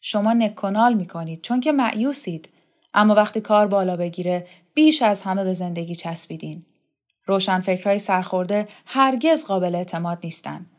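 A weary old male rebel leader in a literary audiobook, acted by a woman narrator. He speaks gravely and cynically, a bitter sceptic who has little faith in others. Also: mid-range voice, lightly acted.

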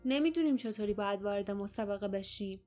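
A woman's voice: mid-range voice, warm tone, soft background music, natural voice. A woman voicing a grown male warrior in an audiobook, speaking in a grumbling, wary way. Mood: cautious.

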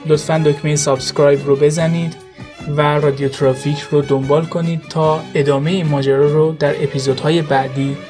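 A man's voice: on the high side, level tone, darkish tone, soft background music. A man presenting a scripted announcement for an audio drama podcast.

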